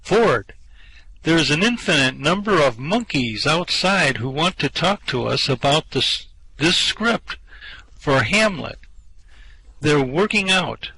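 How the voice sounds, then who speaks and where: A man plays a hapless, bewildered castaway in an amateur radio comedy. He speaks slowly in a bright, slightly high voice, sounding bemused and mildly put out. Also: sing-song, vocal fry, slightly rough, full character voice, low-quality recording.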